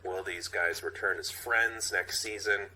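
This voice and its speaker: Casting: male podcast sidekick, fairly low voice, offhand comic commentary. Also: fairly even intonation, unhurried, pushed and bright, slight background noise, poor audio. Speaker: podcast co-host. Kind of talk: casual comic banter.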